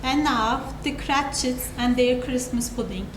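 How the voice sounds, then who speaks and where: A woman with a high voice narrates a classic story as a dramatic live reading. The room is very echoey, and there is clear noise in the recording. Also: unhurried. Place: indoor live reading event.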